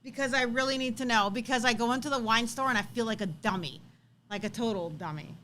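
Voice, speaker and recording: A chatty, animated woman co-hosting a live stream, bantering casually and teasingly with viewers. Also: fairly high pitch, fast.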